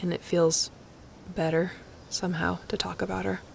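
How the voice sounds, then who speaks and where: Woman acting a creaky-voiced, wry sci-fi pilot. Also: on the low side, level tone, normal speed, a little hiss or hum. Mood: tender, confiding. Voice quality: heavy creaky fry. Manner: wry teasing, casual slangy confiding. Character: snarky, guarded but fond young female spaceship pilot. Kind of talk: intimate audio roleplay acting, addressed to the listener.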